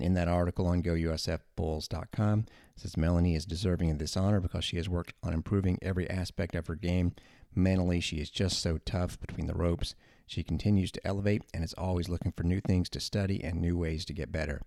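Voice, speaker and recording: Deep-voiced, chatty male sports radio host. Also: steady tone, quick pace, warm tone, dry recording, clean studio sound. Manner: chatty, informal, rambling asides. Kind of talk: casual sports talk radio.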